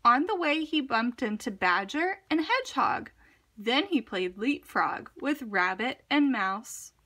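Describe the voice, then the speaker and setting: A woman reading a children's picture book aloud in an expressive, deliberate, dramatic narration style. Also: a little high. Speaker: storybook reader.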